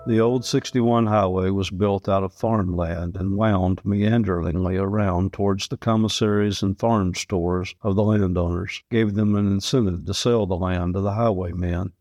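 A man's voice: low-pitched, a little mellow, no echo, clean studio sound. A man reading lyrical, personal prose from a script. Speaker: reader, a farmer.

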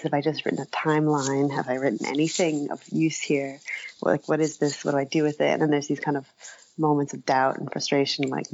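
A woman guest talks through her writing in a casual interview. Her voice sits low with plenty of fry, and she feels her way haltingly through each thought. The recording is dull and lo-fi. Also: a little mellow, no echo. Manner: halting, thinking aloud, trails into asides. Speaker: interview guest, nonfiction writer.

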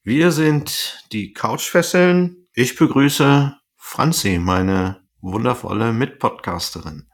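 A man hosting a podcast, welcoming listeners and introducing his co-host in a friendly, hesitant way. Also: mid-range voice. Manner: friendly, plays the moderator, slow hesitant intro.

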